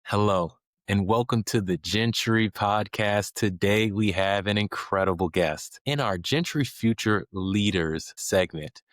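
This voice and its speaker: Deep-voiced male podcast host, bone-dry sound. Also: measured pace, fairly bright tone, dry recording. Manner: enthusiastic, many restarts and repeated words. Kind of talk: interview conversation.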